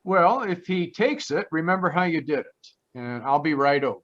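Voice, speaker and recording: An actor playing a flippant, wry ex-cop private detective in a 1940s-style radio play. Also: male voice, a little high, animated, moderate pace, fairly bright tone. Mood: wry, businesslike. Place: remote live performance over video call. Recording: dull, lo-fi video call audio.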